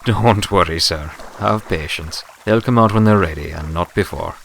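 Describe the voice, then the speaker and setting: A man voicing a calm, patient, deferential helper in a storybook pony tale, with a low gravelly voice and reassuring manner. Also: normal speed, slight background noise. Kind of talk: dramatic reading of a fan story.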